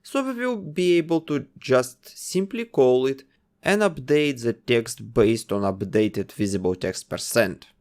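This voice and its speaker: Man with a lively voice narrating a coding tutorial. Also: medium pitch, unhurried. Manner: explains code step by step while testing it. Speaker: game development tutor. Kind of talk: screen-recorded coding tutorial.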